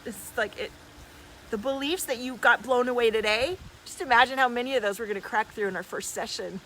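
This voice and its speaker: A woman's voice: a little high, a little fast, sharp tone, poor audio. A woman speaking effusively and emphatically in casual new-age coaching style on a livestream, as a healer and host. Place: outdoors in the desert. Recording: clear background noise, outdoors.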